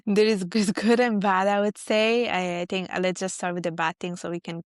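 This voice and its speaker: A woman's voice: medium pitch, steady tone, normal speed, no echo, clean studio sound. A woman in her thirties from Italy, a guest chatting easily about her life in a podcast interview. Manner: friendly, chatty, lists things out. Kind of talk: casual interview chat.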